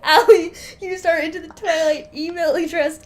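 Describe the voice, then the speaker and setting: Casting: young female co-host, notably high pitch, effusive slangy comedic chat, quick to giggle. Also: fairly even intonation. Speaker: podcast co-host. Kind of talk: casual comedic banter.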